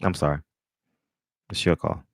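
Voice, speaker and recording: A man's voice: normal speed, dry recording, clean studio sound. A man in his forties hosting a call-in show, chatting casually with callers in a laid-back, joking, slangy way. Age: 40s.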